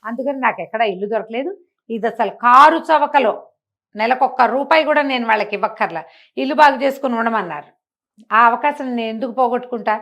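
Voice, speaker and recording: A woman's voice: a little high, fast talker, a bit bright, natural voice. A woman storyteller playing an unflappable, thrifty male music teacher who chats on with practical nonchalance. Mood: stubborn.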